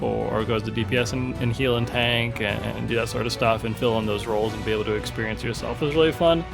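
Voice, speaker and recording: A man giving rambling, casual commentary over his gameplay, with frequent ums and restarts. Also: medium pitch. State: sick with a cold, voice going. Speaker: gaming video creator.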